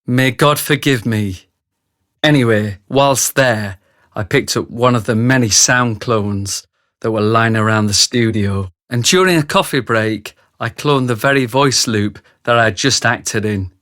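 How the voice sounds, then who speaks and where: An actor playing a brutish ex-soldier who narrates a radio drama, speaking straight to the listener. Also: male voice, medium pitch, unhurried, a bit bright, no echo, clean studio sound, natural voice. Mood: grave, confiding. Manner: grave, confiding, addresses the listener directly. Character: remorseful thick brute ex-soldier, male confessional narrator.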